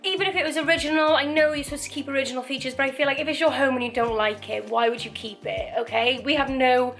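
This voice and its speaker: Chatty woman, croaky from dust. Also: high-pitched, fairly even intonation, quick pace, a bit bright, faint music behind. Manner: chatty, rambling, laughs often. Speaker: DIY renovation vlogger. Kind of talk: casual chatty vlog to camera. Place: living room under renovation.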